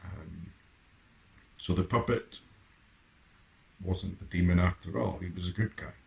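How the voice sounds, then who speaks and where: A man with a gravelly voice giving a casual, halting, musing reaction and review as host. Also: sits low, a little mellow, poor audio.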